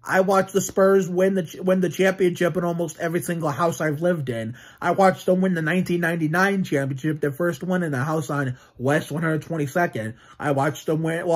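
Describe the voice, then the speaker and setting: A young man in his twenties talks straight into a phone camera in a small room at home. His voice is a touch high and husky. He rambles, restarts his sentences and swears freely as he vents. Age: 20s. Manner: rambling, profane, frequent restarts and fillers. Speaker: sports fan vlogger. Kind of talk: casual sports vlog rant.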